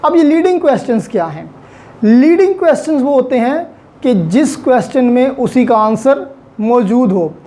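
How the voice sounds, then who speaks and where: A man's voice: very high voice, big pitch swings, normal speed, warm tone. A man with a Pakistani accent giving an explanatory lecture as a law teacher, repeating and rephrasing points and mixing in English terms.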